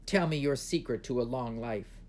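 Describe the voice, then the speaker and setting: A man voicing an imperious emperor and stern father in a storybook, commanding and forceful. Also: mid-range voice, normal speed, slight background noise, close to an everyday voice. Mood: demanding.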